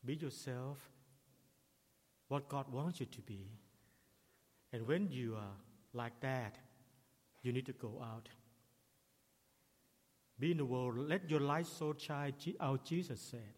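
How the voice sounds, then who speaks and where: Middle-aged man, Thai native speaker, as pastor preaching in English: slow, deliberate delivery, reverberant church hall. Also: mid-range voice, a little mellow. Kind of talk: sermon.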